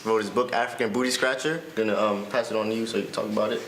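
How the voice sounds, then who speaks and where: A man's voice: on the low side, fairly even intonation, rapid-fire, fairly bright tone, echoey room, slight background noise, rough recording. A young man, a college student, hosting a casual interview and asking informal questions. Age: young adult.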